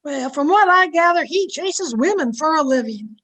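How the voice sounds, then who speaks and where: A woman's voice: high-pitched, animated, moderate pace, fairly bright tone. A woman playing a nosy, frank young housemaid in an old-time radio comedy, with a girlish voice. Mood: wry.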